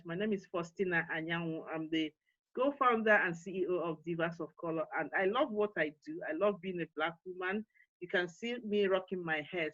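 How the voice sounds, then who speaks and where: A woman's voice: on the low side, a bit bright, dry recording, dull audio. A woman with a Nigerian accent, hosting an online summit and giving encouraging closing remarks, with many fillers and restarts. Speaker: forum co-founder and CEO hosting an online summit. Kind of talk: panel talk and closing remarks.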